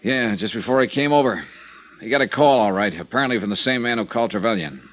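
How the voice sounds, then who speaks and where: An actor playing a wry, hardboiled insurance investigator who narrates a 1950s radio drama. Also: male voice, mid-range voice, fast, muffled recording, natural voice. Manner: wry, hardboiled, lively delivery. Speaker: narrator and lead.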